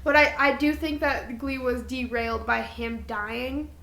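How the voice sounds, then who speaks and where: A woman's voice: high-pitched, fairly even intonation, unhurried, vocal fry, a bit bright. A young woman co-hosting a podcast, chatting casually and circling through her thoughts in informal, hesitant phrasing. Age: young adult. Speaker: podcast co-host. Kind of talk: casual unscripted chat between friends. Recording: roomy echo with background noise.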